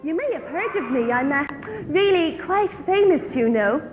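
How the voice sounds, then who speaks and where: A woman's voice: sits high, animated, moderate pace, music in the background. A woman playing a self-important, gushing good witch in a comic stage pantomime. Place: theatre stage in a large hall. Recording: echoey hall stage recording.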